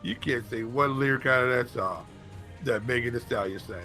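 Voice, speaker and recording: A man's voice: medium pitch, normal speed, sharp tone, soft background music. A man co-hosting a show in casual banter, emphatic and teasing, often repeating himself. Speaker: sports show co-host.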